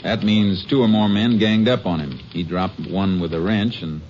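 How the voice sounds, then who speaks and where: An actor playing a seasoned, level-headed Texas Ranger investigator in a 1950s radio drama, laconic and folksy, matter-of-fact. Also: male voice, deep voice, normal speed, darkish tone, a little hiss or hum, close to an everyday voice. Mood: businesslike. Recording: muffled vintage radio recording with music.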